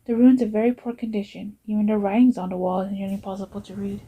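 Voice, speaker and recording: A woman voicing a serious young informant in an amateur game voice-over, husky and matter-of-fact as she reports. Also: mid-range voice, flat delivery, fast talker, narrow, tinny audio, lightly acted. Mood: serious, factual.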